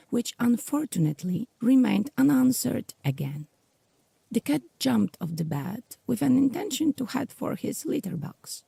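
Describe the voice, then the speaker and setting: A woman with a husky, creaky voice reading scripted story narration for an audio drama. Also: medium pitch, mellow, no room sound.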